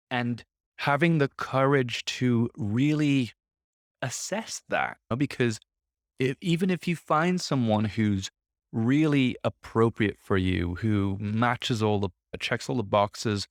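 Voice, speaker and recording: A man's voice: on the low side, dry recording, studio quality. A man in his thirties hosting a solo podcast from his office, talking in a reflective, conversational way, a little congested from a sore throat. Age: 30s. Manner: conversational, reflective, self-coaching tone. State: slightly congested, throat a bit off. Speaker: podcast host.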